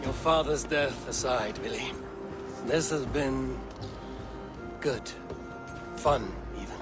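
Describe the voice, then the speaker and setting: An actor playing a loyal, plain-spoken Viking warrior in a video game cutscene, with a measured, earnest delivery. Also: male voice, mid-range voice, close to an everyday voice. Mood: teasing. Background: prominent music.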